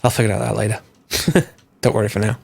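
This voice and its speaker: A man, his voice slightly hoarse from a cold and gravelly with fry. He talks through a game tutorial casually, rambling and correcting himself as he goes. The sound is dry and close, with no room around it. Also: on the low side, level tone, fast talker, darkish tone, no room sound. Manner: rambling, self-correcting, thinking aloud.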